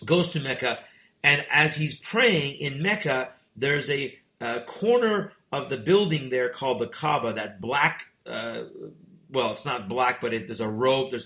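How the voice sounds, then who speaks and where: Male radio host, bright sharp voice, lots of uh's and restarts, echoey room. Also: medium pitch, unhurried. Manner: recapping for listeners, frequent fillers and restarts. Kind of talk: radio talk show interview.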